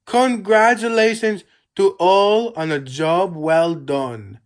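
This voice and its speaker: A grand older male railway controller from a storybook, performed by a narrator. He makes formal, celebratory announcements at a stately, slow pace. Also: mid-range voice, voice pushed up, natural voice.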